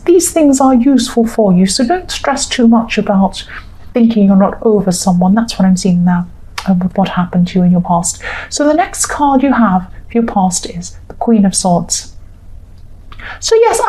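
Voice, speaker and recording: A woman giving a rambling, reassuring tarot reading with a slightly croaky voice. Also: mid-range voice, poor audio. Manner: gentle, reassuring, rambling with self-corrections. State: croaky, clearing throat. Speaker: tarot reader. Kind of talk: casual tarot reading monologue.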